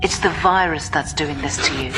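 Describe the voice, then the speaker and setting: A woman acting a restrained medical keeper in a science fiction radio drama. Her voice is low, measured and clinical, guarded yet concerned for her patient. Music is clearly present underneath. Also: close to an everyday voice.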